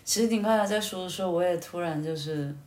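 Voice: mid-range voice, fast, a little mellow, a little hiss or hum. A podcast host in casual conversation, listening attentively and responding with short acknowledgements and easy remarks. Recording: echoey room.

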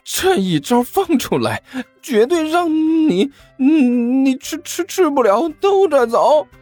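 A cheeky, cornered warrior of justice in a comic audio story. The whiny voice is very high and stutters through defiant retorts. It draws words out slowly with lively swings in pitch. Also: male voice, no room sound, soft background music, full character voice. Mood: defiant, shouting.

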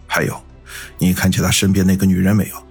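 Man voicing a stern, very husky gangland man. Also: sits low, level tone, quick pace, faint music behind, heavily acted. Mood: stern, dismissive. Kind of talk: audiobook character acting.

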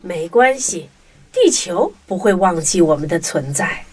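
Woman playing a mother worm, low, breathy, from a little off-mic, kids' audio story. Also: sing-song, unhurried, darkish tone, recorded from a distance, soft background music, heavily acted. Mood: reassuring.

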